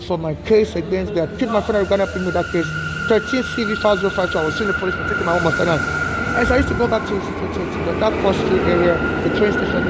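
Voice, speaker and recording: A man from Nigeria with a Nigerian-tinged American accent, talking in an urgent, repetitive street monologue with many restarts. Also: high-pitched. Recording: street noise outdoors.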